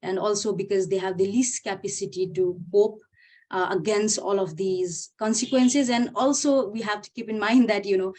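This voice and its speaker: A woman's voice: medium pitch, darkish tone. A young woman from Nepal in her twenties, speaking as a panelist in a discussion, hesitant and full of "you know" fillers. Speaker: panelist, youth activist.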